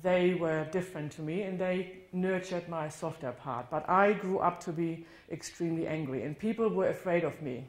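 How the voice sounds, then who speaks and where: A woman's voice: lowish voice, moderate pace, hardly any pauses. A woman from northern Germany with a German accent, giving a candid, reflective talk about her life at a church, correcting herself now and then. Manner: candid, reflective storytelling with occasional self-corrections. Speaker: guest speaker. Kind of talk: personal testimony talk. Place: church hall. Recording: roomy church hall sound.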